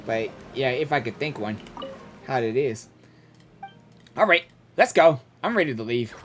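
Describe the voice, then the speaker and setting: An excitable streamer giving casual live reactions to a game, often repeating phrases. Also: mid-range voice, measured pace. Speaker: gaming streamer.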